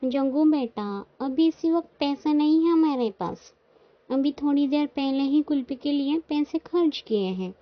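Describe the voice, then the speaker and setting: A woman voicing an exasperated, dramatic mother crow in a children's cartoon, complaining loudly and emphatically. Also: high voice, animated, unhurried. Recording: dull lo-fi sound.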